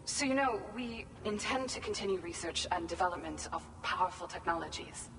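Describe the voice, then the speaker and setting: An earnest, grateful researcher in a video game. Her slightly low voice is heartfelt and chatty. Steady noise sits under her. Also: brisk. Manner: earnest, warm-hearted, talkative. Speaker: researcher at a facility. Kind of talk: game cutscene voice acting. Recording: noisy.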